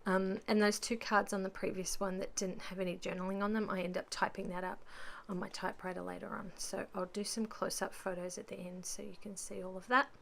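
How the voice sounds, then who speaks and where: A woman with a croaky voice chatting casually through a voice-over about her crafting, rambling with lots of ums. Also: mid-range voice, flat delivery, a little fast, hardly any pauses, fairly bright tone, slight background noise. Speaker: scrapbooking vlogger.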